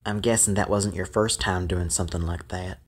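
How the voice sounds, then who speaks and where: A man's voice: deep voice, fairly even intonation, moderate pace, a little hiss or hum, lightly acted. A man playing an awkward, earnest teenage boy who talks hesitantly in an amateur audio drama. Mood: hesitant, gentle.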